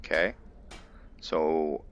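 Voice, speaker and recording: Low bright-toned guy talking through his game, kinda dull lo-fi mic. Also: little pitch movement, very slow. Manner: hesitant, thinks aloud with fillers. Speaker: gamer roleplaying his character. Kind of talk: casual gameplay roleplay commentary.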